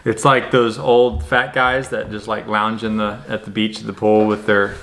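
A young man joins his partner's vlog, chatting in a relaxed, joking way. He adds quick asides and throws in playful comparisons. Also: fairly low pitch. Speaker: vlog guest, partner of host. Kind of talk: casual couple vlog chat.

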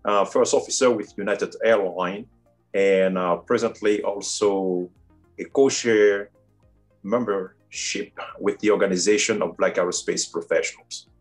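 Man, Cameroonian French accent, low voice, halting earnest answers, faint music behind. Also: steady tone. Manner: earnest, halting with frequent fillers. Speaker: airline first officer, guest. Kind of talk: interview answers.

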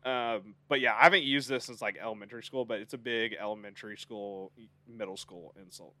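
A man's voice: lowish voice, fairly even intonation, fairly quick, sharp tone, no echo. A man in his twenties co-hosting a podcast, chatting casually in a playful way and laughing through his talk. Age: 20s. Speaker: podcast co-host. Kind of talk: casual chat.

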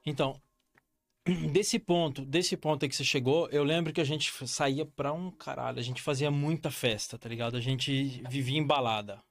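A man from Brazil with a Brazilian Portuguese accent who hosts a casual talk, asking quick, slang-filled questions. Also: mid-range voice, no room sound.

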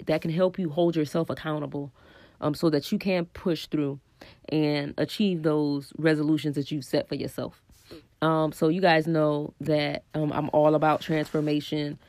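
A woman's voice: low-pitched, darkish tone, no echo. A woman hosting her own podcast, talking through a cold with a stuffy nose and scratchy throat, earnest and encouraging as she thinks aloud with plenty of ums. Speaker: podcast host. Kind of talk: casual solo podcast monologue on spiritual growth.

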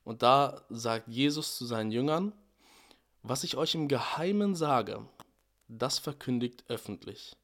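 A young man talks through a Bible passage for his podcast, his voice slightly worn by a cold. He sits at a middle pitch and explains things in a loose, conversational way, pausing and rephrasing as he goes. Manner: relaxed, explanatory, with fillers and restarts. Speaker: podcast host. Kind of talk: devotional podcast talk on a Bible verse.

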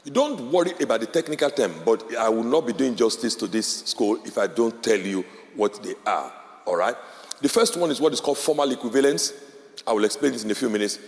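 A man's voice: medium pitch, brisk. A man, a Yoruba speaker, teaching a Bible class as a pastor. He explains step by step, repeats key phrases and keeps checking in with his listeners. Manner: explains step by step, checks in with "all right?" and "okay?". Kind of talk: classroom-style teaching talk. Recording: long hall reverb.

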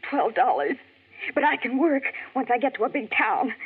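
An actress playing a frightened young woman in a 1940s radio drama, halting and pleading. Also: sits high, animated, breathy edge, heavily acted. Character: frightened young woman, Francis, fleeing stepfather. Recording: tinny old radio broadcast.

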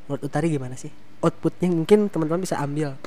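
A young man hosting a casual podcast, talking with a stuffy, slightly hoarse nose and a playful, teasing manner. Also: a little high, very fast, dark tone. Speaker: podcast host. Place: coffee shop.

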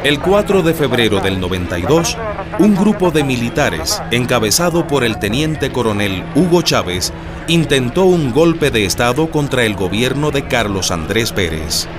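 A man reading scripted radio drama narration in a dramatic, clearly phrased way. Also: medium pitch, sing-song, normal speed, heavy background noise.

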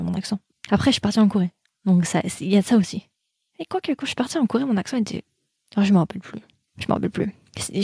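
A woman's voice: mid-range voice, mellow, slightly rough, dry recording, studio quality. A young woman hosting a casual live chat, talking to viewers in a slangy, rambling way with many fillers. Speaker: host of a live chat about Korean dramas.

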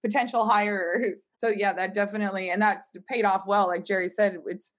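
A woman talks in a relaxed conversational interview style. Her voice sits at a middle pitch for a woman, and she explains things informally, letting her sentences ramble along. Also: moderate pace. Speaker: tech company team leader, interview guest.

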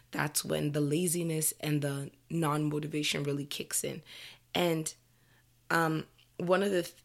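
Podcast host, froggy voice, slight cold, heavy vocal fry, earnest motivational monologue, candid, encouraging. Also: mid-range voice, fairly bright tone.

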